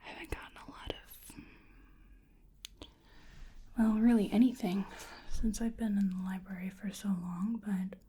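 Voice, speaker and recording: A woman doing soft-spoken ASMR roleplay, largely whispering, gently and hesitantly murmuring to the listener in a breathy voice. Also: mid-range voice, fairly even intonation, moderate pace, pauses often, a little hiss or hum.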